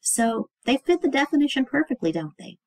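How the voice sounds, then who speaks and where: Female teacher for a kids' read-aloud lesson. Lively pitch swings, husky edge, clear emphasis on key words. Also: brisk, no echo. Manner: explanatory, emphatic on key words, asks the listener questions. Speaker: teacher reading a children's book aloud.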